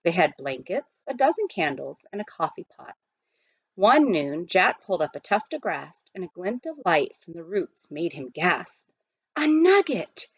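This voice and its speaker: A woman reading a children's novel aloud as an expressive storyteller. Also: fairly low pitch, moderate pace, husky, dry recording, lo-fi sound.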